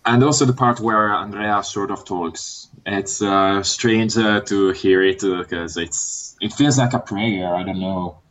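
Deep-voiced Italian man, halting and hesitant. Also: a bit bright, poor audio. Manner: hesitant, many "uh" fillers. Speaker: guest fan. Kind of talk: casual podcast chat.

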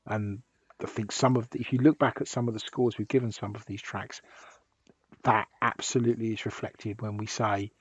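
Husky-voiced man hosting a podcast. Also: fairly low pitch, darkish tone, dry recording, lo-fi sound. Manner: rambling, with many restarts. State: hoarse from a sore throat. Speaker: podcast host. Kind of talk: casual podcast chat.

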